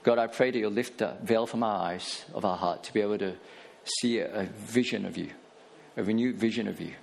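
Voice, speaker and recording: A man's voice: lowish voice, brisk, creaky, a little mellow. A man in his forties, a pastor giving a casual sermon in church with many fillers and restarts. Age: 40s.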